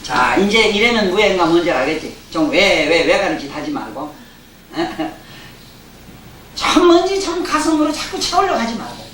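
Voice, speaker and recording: A man's voice: sits high, sing-song, moderate pace, fairly bright tone. An older man with a Gyeongsang accent giving a Buddhist dharma lecture, folksy and joking, often asking his listeners questions. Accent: Gyeongsang dialect. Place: lecture hall. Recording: roomy hall, distant mic, faint hiss.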